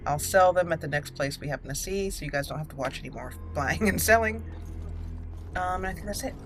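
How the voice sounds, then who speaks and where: A woman hosting a casual video game walkthrough, talking herself through choices in an unhurried way. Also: lowish voice, over music. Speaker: gaming channel host.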